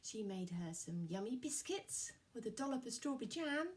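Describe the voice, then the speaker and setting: A woman's voice: fairly high pitch, big pitch swings, normal speed, recorded from a distance. A woman telling a story to young children as a puppeteer.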